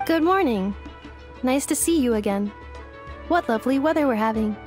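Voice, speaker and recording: A woman's voice: high voice, expressive, moderate pace, darkish tone, faint music behind. An actress voicing a polite, literal-minded female robot in a video game, speaking in stilted, formal, emotionless phrases. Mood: flat, inquisitive. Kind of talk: scripted video game dialogue.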